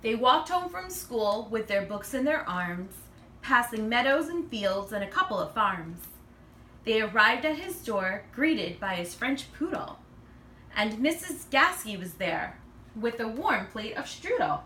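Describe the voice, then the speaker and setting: A woman reads a children's picture book aloud in an expressive, animated way, acting out the dialogue. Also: a little high, measured pace. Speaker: read-aloud reader. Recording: roomy, distant mic, faint noise.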